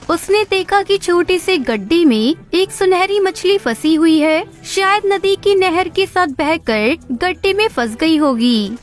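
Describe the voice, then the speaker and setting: Very high-pitched woman narrating children's stories. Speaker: storyteller. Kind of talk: scripted children's story narration.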